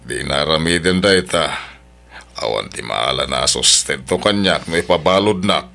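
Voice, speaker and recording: Man playing a deep-voiced cheating husband. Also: animated, normal speed, creaky, sharp tone, full character voice. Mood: coaxing. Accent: Ilocano. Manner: coaxing, dismissive. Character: cheating husband Leonardo sweet-talking his mistress. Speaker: voice actor in an audio drama. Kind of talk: Ilocano audio drama acting.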